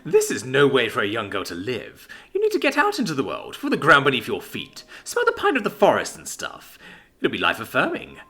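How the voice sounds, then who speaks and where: A man doing a brash, excitable axe-wielding young man. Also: medium pitch, brisk, full character voice. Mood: pushy. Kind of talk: audiobook character voice.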